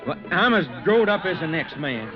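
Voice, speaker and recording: An actor playing a bashful young cowhand in an old radio western, with a folksy, stammering, blustering manner and a rural Western American accent. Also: male voice, on the high side, sing-song, normal speed. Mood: nervous, sheepish. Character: bashful, flustered young cowhand, reluctant new groom. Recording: muffled vintage radio recording, music behind.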